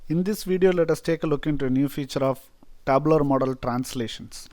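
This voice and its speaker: Indian man walking through a software demo. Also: fairly high pitch, a little fast, no room sound. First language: Tamil. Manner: explanatory, frequent "uh" fillers. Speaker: software tutorial presenter. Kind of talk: screen-recorded software tutorial.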